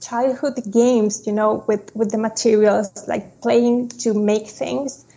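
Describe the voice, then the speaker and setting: Slow-speaking woman with gravelly tone. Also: fairly high pitch, fairly even intonation, mellow, dull audio. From Chile. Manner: slow, halting, with frequent restarts. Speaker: guest, designer. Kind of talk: casual interview.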